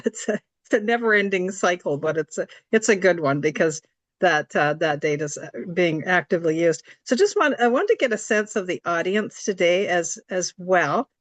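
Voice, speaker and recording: A woman with a scratchy, slightly hoarse voice giving an informal explanatory presentation, polite and somewhat hesitant. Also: lowish voice, no room sound. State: hoarse from allergies. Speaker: report coordinator, presenter. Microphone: video call.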